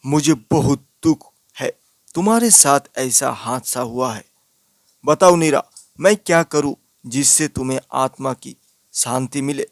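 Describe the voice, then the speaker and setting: A man's voice: mid-range voice, expressive, measured pace, no room sound. A man playing an earnest, stubbornly brave young villager in a cartoon horror story. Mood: pleading.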